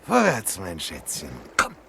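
An actor in a radio play voicing a brutal, lecherous pirate captain with a domineering, swaggering manner. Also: male voice, low-pitched, huge pitch swings, unhurried, vocal fry, a bit bright, slight background noise, heavily acted. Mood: blustering, defiant. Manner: menacing, domineering. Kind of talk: German radio play acting. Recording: rowdy crowd around the voice.

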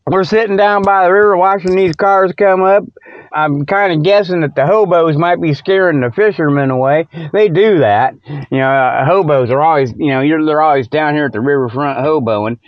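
A man's voice: on the high side, normal speed, no room sound, lo-fi sound. A man hosting a comic show, rambling off the cuff in a joking, folksy way with loose colloquial grammar and chuckles at himself. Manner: colloquial rural-style grammar such as ain't and them.

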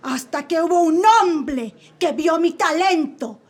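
A woman's voice: very high-pitched, lively intonation, slow pace, full character voice. A woman playing a sassy adult showgirl defending herself in a comic radio court sketch, with a Mexican Spanish accent. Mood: pleading. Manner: sassy, suggestive pleading.

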